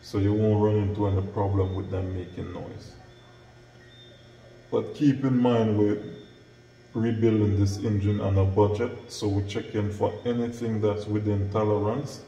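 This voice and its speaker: A man's voice: on the low side, dark tone, lo-fi sound. A middle-aged man, a mechanic giving plain-spoken, step-by-step hands-on instruction. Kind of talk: hands-on tutorial narration. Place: small bare-walled indoor auto workshop. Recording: echoey hard-walled room, noticeable background noise.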